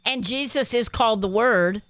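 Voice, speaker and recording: A woman's voice: fairly high pitch, normal speed, fairly bright tone, no echo. Enthusiastic woman with a slight Spanish accent. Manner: enthusiastic, reads scripture aloud with emphasis. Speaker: radio show co-host.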